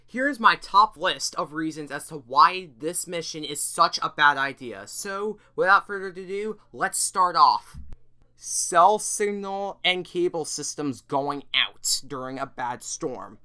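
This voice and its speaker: Clear, bright, earnest YouTuber commentary. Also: medium pitch. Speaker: YouTuber presenting an issue video. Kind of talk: semi-scripted commentary to camera or voice-over.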